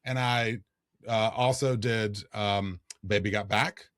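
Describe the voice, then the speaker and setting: A man co-hosting a podcast, telling a story in casual chat, his voice a little raspy from singing. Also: lowish voice, takes it slowly, no room sound, clean studio sound. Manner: animated storyteller, jokey. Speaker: podcast co-host.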